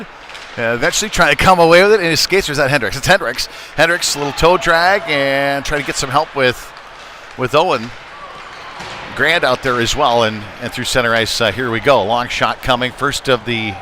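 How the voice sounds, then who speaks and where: Male rinkside play-by-play commentator for youth hockey, mid-pitched, casual and halting, lifting with the play, noticeable arena noise. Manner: conversational, hesitant, rising excitedly on shots. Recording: noisy rink ambience behind voice.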